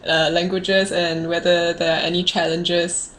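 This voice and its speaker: Casual Singaporean woman with a lowish voice. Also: flat delivery, a little slow, fairly bright tone, a little hiss or hum. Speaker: host of a conversational video series. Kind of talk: casual chat.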